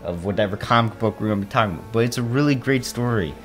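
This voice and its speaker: A man's voice: fairly low pitch, fast. A playful man who laughs readily, chatting and riffing casually as co-host of a cartoon reaction show. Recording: cartoon music clearly behind the voice.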